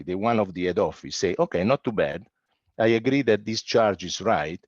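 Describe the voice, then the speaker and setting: A man's voice: lowish voice, dry recording. A man giving a conference presentation as a panel speaker, emphatic and fond of repeating his phrases for effect. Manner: emphatic, repeats phrases, voices imagined objections. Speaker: panel speaker on international tax. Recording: dull, muffled sound.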